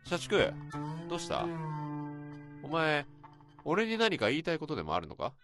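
Guy playing a gruff defensive senior coworker, voice jumps all over, music under. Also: fairly high pitch, wildly swooping pitch, moderate pace. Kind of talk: radio drama acting.

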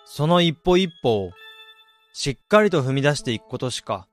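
A man's voice: medium pitch, animated, moderate pace, soft background music, lightly acted. A man, around his thirties, playing a wistful, blunt, introspective man in a radio drama and narrating his reflections. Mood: reflective narration. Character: wistful man unsure whether he became an ideal adult.

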